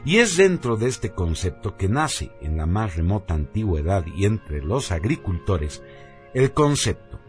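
A man's voice: lowish voice, very animated, a little slow. A man reading a scripted radio narration in a solemn, emphatic, declamatory manner. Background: background music.

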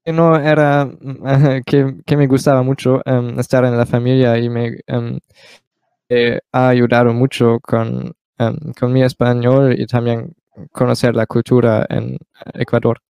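A seventeen-year-old boy from Germany speaking Spanish as a learner, pausing often with fillers as an interview guest. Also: mid-range voice, flat delivery, gravelly, dark tone, clear, resonant tone, no echo. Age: teens. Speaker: exchange student guest. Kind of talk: casual interview.

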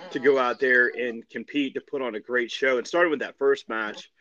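Male podcast co-host, casual sports recap, mid-pitched voice, halting delivery with repeats, slightly lo-fi audio. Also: fairly even intonation, pushed and bright.

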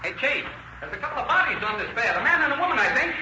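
An actor playing an excitable, slow-witted firefighter in a 1930s radio drama, stammering and repeating himself. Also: male voice, very high voice. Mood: excited, alarmed. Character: excitable, slow-witted male firefighter reporting to his chief. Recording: dull, echoey old radio recording, faint noise.

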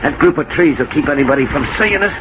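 An actor playing a nervous, ruthless small-time crook with rough, colloquial speech in a 1940s American radio drama. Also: male voice, a little high, expressive, quick pace, very noisy, lightly acted. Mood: grim, scheming. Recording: vintage 1940s radio recording, loud music.

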